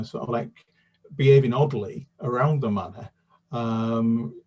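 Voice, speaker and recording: An older man talks in a husky, breathy voice, heard from some distance off the mic. He chats casually and hesitantly as a children's author, full of fillers and restarts. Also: mid-range voice, dark tone. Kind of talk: casual interview chat.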